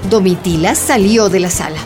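A woman's voice: medium pitch, normal speed. A woman narrator reading scripted radio drama narration. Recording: music behind voice.

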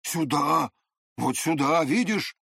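Man acting a spiteful hot-tempered swindler, pitched up. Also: slow, gravelly, breathy edge, no echo, heavily acted. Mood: eager. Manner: irritable, scornful, excitable. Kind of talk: dramatized audiobook character voice.

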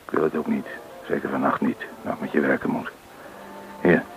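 Kalme bendeleider met heel lage stem. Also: male voice, a bass voice, little pitch movement, brisk. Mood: stern, businesslike. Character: calm, calculating male leader of a train-robbery gang. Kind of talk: Dutch radio play acting. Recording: dull, noisy old radio play recording.

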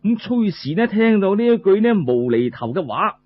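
A man's voice: sits high, very animated, moderate pace. A man with a Cantonese accent narrating a traditional tale in a colloquial, phrase-by-phrase way. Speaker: storyteller. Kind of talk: traditional oral storytelling narration. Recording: muffled lo-fi recording.

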